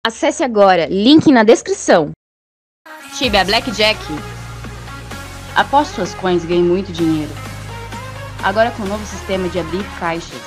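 A woman's voice: mid-range voice, moderate pace, long pauses. Brazilian woman reading an advert over music. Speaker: advert announcer. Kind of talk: promotional ad voice-over. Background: electronic music.

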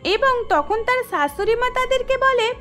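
A woman narrates a cartoon story from a script. Her voice is extremely high, almost in a child's range. Faint music plays beneath the narration. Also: animated, moderate pace, pushed and bright.

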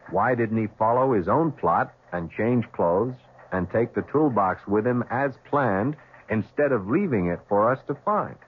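A methodical male police lieutenant speaks formally in a fairly low voice. It is a 1970s radio drama, tinny and narrow, with some hiss. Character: brisk, businesslike male police homicide lieutenant.

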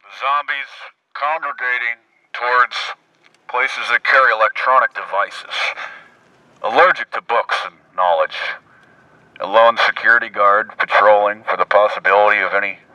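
Sharp, forceful man on a bullhorn. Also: on the low side, moderate pace, no room sound, dull audio. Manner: mocking, declamatory. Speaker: prankster video host. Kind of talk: mock news narration over a bullhorn.

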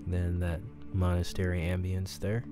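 A man speaking as an audio drama director in a casual, halting, easygoing commentary. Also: low voice, monotone, over music.

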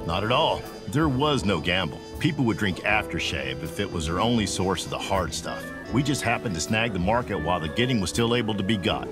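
Man playing a loyal, animated gangster henchman. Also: on the low side, fairly quick, hardly any pauses, over music, natural voice. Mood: smug, easygoing. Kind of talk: 1920s gangster audio drama.

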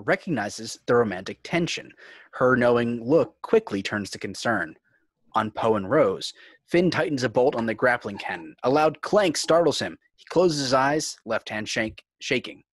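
A man narrating stage directions for a scripted fan table read in a measured, clipped way. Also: medium pitch, lively intonation, no room sound.

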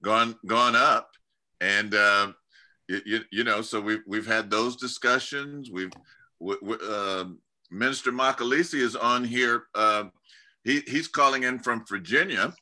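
Bright-toned middle-aged man hosting a video call. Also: medium pitch, a little slow. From California. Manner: relaxed, rambling, many restarts and fillers. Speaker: host of church community video-call talk. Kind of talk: casual interview chat. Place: home study lined with bookshelves. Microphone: video call webcam.

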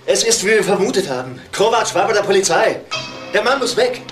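A man's voice: high-pitched, expressive, a little fast, a bit bright, roomy sound, faint music behind. A man playing a ruthless crime boss in a drama, impatient and insistent as he gives orders. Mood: impatient, menacing.

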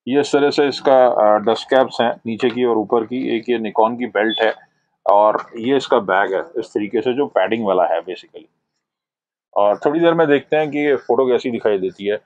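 A man's voice: medium pitch, normal speed, darkish tone, dry recording, dull audio. A middle-aged man in a shop, explaining binoculars in a product review, hesitating often with "uh" fillers. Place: binocular shop, indoors, shelves of boxes. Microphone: lapel mic.

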